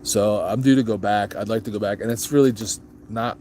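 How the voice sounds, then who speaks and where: A man's voice: fairly low pitch, steady tone, brisk, darkish tone, a little hiss or hum. A man hosting a casual motorcycle vlog, chatting loosely with viewers and answering their questions, with plenty of ums and restarts. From grew up moving around as an Army child. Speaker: motorcycle vlog host reading live chat.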